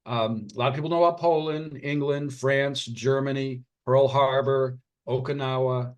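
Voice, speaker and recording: A man's voice: mid-range voice, steady tone, normal speed. A middle-aged man, a doctor and author, telling his family's story in a casual podcast interview, earnest and rambling with many fillers and restarts. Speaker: author and physician guest.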